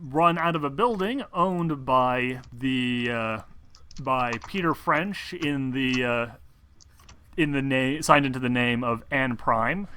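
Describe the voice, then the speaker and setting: A man's voice: mid-range voice, pushed and bright. A man acting as game master in a casual online tabletop session, prone to swearing. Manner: foul-mouthed.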